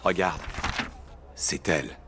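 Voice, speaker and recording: Very deep-voiced, courteous cartoon painter. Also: male voice, slow pace, background noise. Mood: wistful. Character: courteous Montmartre painter, wistful about the past. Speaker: voice actor. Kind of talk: French animated series dialogue.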